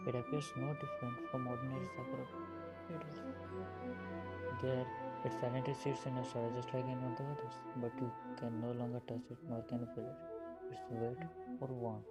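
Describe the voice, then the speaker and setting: Very deep-voiced woman gamer over loud music. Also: rough recording. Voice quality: murky, deep voice. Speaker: gaming video host. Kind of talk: casual gameplay commentary, reading dialogue aloud. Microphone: phone earphone mic. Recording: murky earphone mic, loud game music behind.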